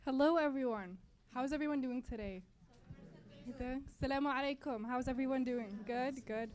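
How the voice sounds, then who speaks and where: Young woman, Palestinian-born, native Arabic speaker, slightly high voice. Student club speaker addressing an event, a little hesitant. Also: normal speed, darkish tone. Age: young adult. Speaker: student club board member giving introduction. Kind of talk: welcome speech to an audience. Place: college library event.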